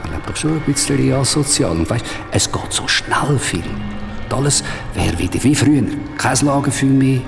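A man playing a sinister dead tormentor in a radio play, coaxing, manipulative and calmly menacing. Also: mid-range voice, moderate pace, gravelly, over music.

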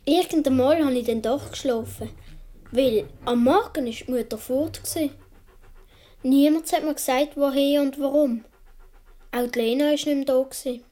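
Woman playing a high-voiced naive Swiss little boy. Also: moderate pace, mellow, lightly acted. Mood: wistful, matter-of-fact. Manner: naive, colloquial storytelling. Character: seven- or eight-year-old Swiss boy recalling his childhood. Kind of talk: radio play narration.

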